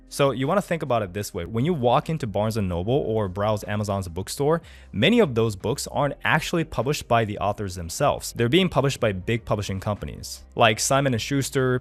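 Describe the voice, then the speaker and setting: A man around thirty from Japan, a native Japanese speaker, giving an upbeat, persuasive pitch to camera as an online business coach and often tagging on "okay?". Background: faint background music.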